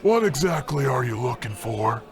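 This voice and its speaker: A man playing a weary, reluctant bartender in a comedic radio noir drama, with a dry, deadpan and somewhat hesitant manner. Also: mid-range voice, normal speed, over music. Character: weary, reluctant male bartender, dryly unimpressed.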